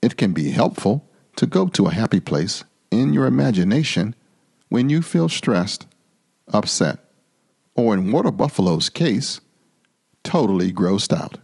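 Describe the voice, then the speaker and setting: A man with a gravelly, husky voice reading a children's story aloud in an animated way. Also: lowish voice, a little slow. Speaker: storybook reader. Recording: reverberant hall-like room echo.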